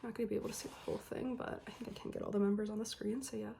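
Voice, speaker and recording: A young woman's hoarse, slightly worn voice fills this casual unboxing chat. She talks to her viewers in a loose, friendly way, filling her pauses with ums. Her excitement keeps showing through her scratchy throat. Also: medium pitch. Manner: chatty, many "um"s, excited. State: hoarse, recovering from illness. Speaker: K-pop album unboxing vlogger.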